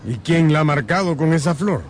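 An actor playing a stern, authoritative count who acts as judge in a radio drama. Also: male voice, fairly high pitch, lively intonation, a little fast, a little hiss or hum, close to an everyday voice. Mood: stern, questioning.

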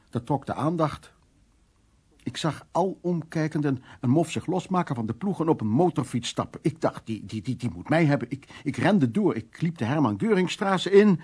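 Middle-aged man, slightly husky, brusque, hesitant, haunted art restorer, naturalistic radio drama. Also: mid-range voice, a little mellow. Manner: hesitant, repeating words, musing.

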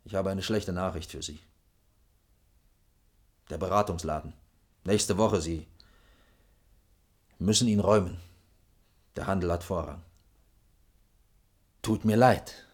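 An actor playing a stiff, dutiful bureaucrat in a radio drama, pedantic, clipped and admonishing. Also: male voice, low voice, slow, long pauses, a little mellow, slightly rough, exaggerated voice. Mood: regretful. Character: stiff, dutiful male bureaucrat, stern and dry senior colleague. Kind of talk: 1980s East German radio drama acting.

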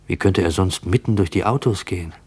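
A man playing an uneasy, watchful narrator in a radio play, introspective, questioning and deliberate. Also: low-pitched, a little mellow, husky. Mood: uneasy, searching. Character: uneasy, watchful male narrator shadowing a stranger. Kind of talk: German radio play monologue.

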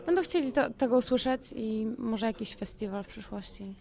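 Creaky-voiced, effusive woman in casual interview. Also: on the high side, lively intonation, normal speed, a little mellow. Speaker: interviewed audience guest. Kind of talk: casual post-event interview.

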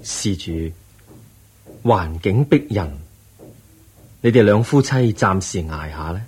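An actor playing a calm, wise, kindly holy teacher in a radio drama, measured and reassuring, with a Hong Kong Cantonese accent. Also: male voice, deep voice, slight background noise. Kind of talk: Cantonese-language Buddhist radio drama acting.

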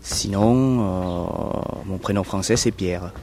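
A man from South Vietnam speaking, recounting his past in an interview with frequent hesitant pauses and fillers. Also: sits low, a little mellow, slight background noise. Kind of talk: recounting personal history.

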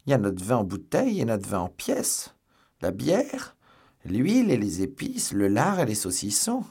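A man's voice: lowish voice, huge pitch swings, normal speed, a little mellow, husky, pitched above the performer's own voice, heavily acted. A man voicing an anxious, servile innkeeper in a plaintive, exclamatory way, as in an audiobook. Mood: piteous, agitated. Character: piteous, complaining male innkeeper, anxious and servile.

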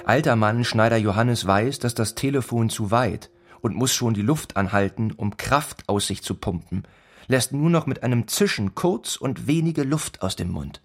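An actor narrating a grimly comic village tale in a literary radio play, in a rhythmic, repetitive manner. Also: male voice, mid-range voice, normal speed, faint music behind, close to an everyday voice. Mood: grim, insistent. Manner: incantatory, repeats phrases rhythmically. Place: studio radio play production.

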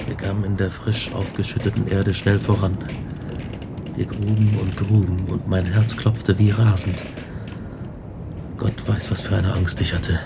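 Radio drama lead: haunted experimenter, brooding and intense, lowish creaky voice over noisy atmosphere. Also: male voice, flat delivery, a little slow. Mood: haunted, tense. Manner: intense, brooding, dwelling on words. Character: obsessive, haunted male scientist recounting his grisly experiments. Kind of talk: radio drama acting and narration.

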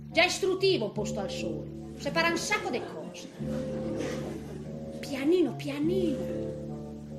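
Frightened, grumbling old lady, animated and high. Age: 80s character. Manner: colloquial, exclaiming, chattily reliving her misadventures. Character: frightened, grumbling eighty-year-old Italian woman in a care home. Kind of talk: theatrical comic monologue.

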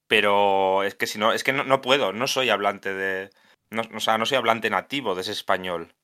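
A man's voice: on the low side, fairly even intonation, moderate pace, sharp tone. A man Asturias, a video game translator chatting as a podcast guest, thinking aloud with frequent restarts. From Asturias, Spain. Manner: thoughtful, many restarts and self-corrections. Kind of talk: casual podcast conversation.

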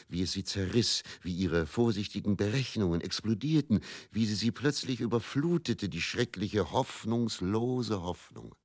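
An actor playing a bitter, world-weary travelling faith healer and quack in a radio play monologue, musing and theatrical. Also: male voice, low voice, dry recording, studio quality, exaggerated voice. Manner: brooding, musing, repeats words, theatrical shifts between murmur and outburst.

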